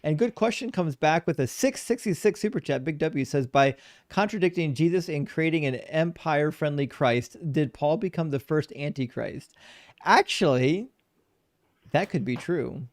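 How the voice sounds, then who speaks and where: A man's voice: on the high side, moderate pace, no room sound, clean studio sound. Chatty male live-stream host. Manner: relaxed, chatty, laughs often. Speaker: debate show host. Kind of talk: casual live-stream chat, reading super chats.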